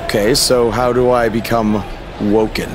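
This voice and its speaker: A man's voice: medium pitch, flat delivery, normal speed, crowd noise, background noise, natural voice. A man playing a polite young wrestler in a video game, talking in a hesitant, casual way. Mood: awkward, sincere. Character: easygoing, polite young male wrestler hero.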